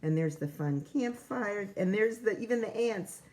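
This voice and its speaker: A woman with a mid-pitched voice reads a story aloud like a classroom teacher. She tells the rhymes expressively and steps out of them for casual asides, explaining things simply for children. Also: expressive, normal speed, darkish tone, poor audio. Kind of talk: picture-book read-aloud with casual asides.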